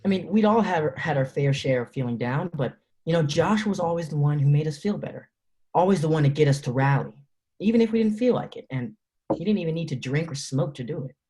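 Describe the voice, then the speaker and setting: A man's voice: fairly high pitch, expressive, a little fast, dark tone, close to an everyday voice. A man reading a wry teenage boy talking to camera. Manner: conversational, wry, musing. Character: teenage boy, loyal friend, talks to the camera. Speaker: actor in a table reading. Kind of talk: table-read script acting, direct-to-camera asides.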